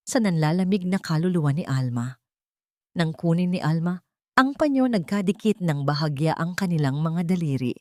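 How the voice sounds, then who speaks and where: A woman narrates a dramatic story with measured, literary delivery. Her voice sits a little low, and the sound is close and dry, like a studio voice-over. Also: a little mellow, dry recording.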